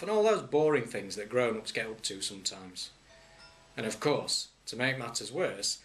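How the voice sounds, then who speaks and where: A man, a head teacher, reading a children's story aloud with wry humour and lively swings in pitch. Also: a little hiss or hum.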